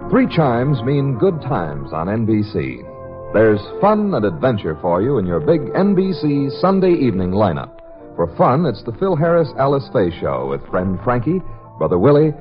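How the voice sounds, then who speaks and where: A man working as a radio announcer, reading scripted copy in 1950s broadcast style. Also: on the low side. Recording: dull old 1950s radio recording. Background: music.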